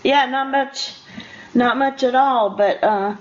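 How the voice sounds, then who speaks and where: An older woman hosting a casual radio interview in a chatty, conversational way, quick to laugh. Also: sits high. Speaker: radio show host. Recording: dull, lo-fi radio interview audio.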